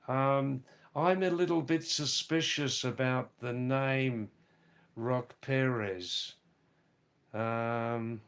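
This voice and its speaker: A hoarse man live-streaming from a hill village, reading out viewers' comments and greeting them by name in a halting way with many fillers. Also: medium pitch, a bit bright.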